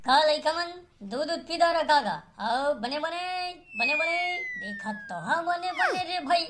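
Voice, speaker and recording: High-pitched Chhattisgarhi village lad played by a man. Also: very animated, measured pace, pushed and bright, a little hiss or hum, full character voice. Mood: fond, coaxing. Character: worried village youth tending hungry calves. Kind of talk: cartoon comedy dialogue.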